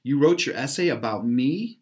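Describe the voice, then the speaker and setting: Man reading as an eager, excitable boy team leader. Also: mid-range voice, moderate pace, lightly acted. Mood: surprised.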